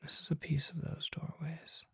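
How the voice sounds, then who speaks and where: A performer voicing a mysterious, courtly vampire apothecary in a breathy, formal ASMR whisper, close to the microphone. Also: dry recording, lightly acted. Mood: hushed, reverent. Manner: slow, formal, deliberate, hushed.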